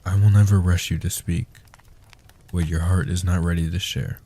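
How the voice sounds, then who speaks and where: A man playing a centuries-old guardian vampire, gentle and protective, speaking softly and huskily in hushed, slow phrases for a comfort roleplay audio. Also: low-pitched, level tone. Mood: gentle, reassuring. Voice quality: soft-spoken, husky. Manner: slow, hushed delivery with long pauses.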